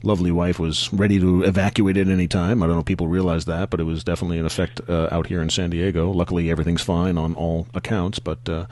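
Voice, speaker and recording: A man with a low voice hosts a casual podcast, easygoing and agreeable. He is fighting off a cold, and his sentences tend to trail off. He is recorded close up in a dry booth. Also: level tone, quick pace, hardly any pauses, darkish tone, no echo. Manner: laid-back, agreeable, trails off often. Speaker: podcast host.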